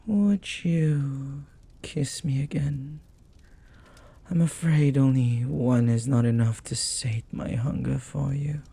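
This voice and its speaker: A man voicing a flirty, devoted demon in an intimate romantic audio roleplay, speaking formally and reverently in a husky voice that often drops to a whisper. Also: medium pitch, mellow, exaggerated voice. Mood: devoted, adoring. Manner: slow, formal, reverent phrasing. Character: jealous, flirty, devoted male demon bound to master.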